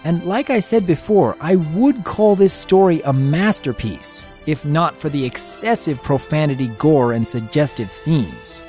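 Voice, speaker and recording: A man's voice: a little high, animated, measured pace, hardly any pauses, dark tone, soft background music. A man giving scripted review commentary as a guest reviewer.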